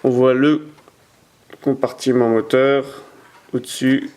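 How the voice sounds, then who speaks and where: A man's voice: medium pitch, fairly even intonation, takes it slowly, a little mellow, a little hiss or hum. A man at home talking to his camera as he shows toy cars from his collection, with frequent hesitations and repeated words. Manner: hesitant, many "euh" fillers and repeated words; handles and turns the cars toward the camera as he talks. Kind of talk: casual unboxing commentary. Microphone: camera mic.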